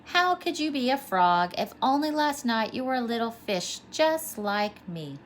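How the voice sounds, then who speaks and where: A woman voicing an eager, curious young storybook fish with excitable, impatient questioning. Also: a little high, unhurried, a little hiss or hum. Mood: argumentative.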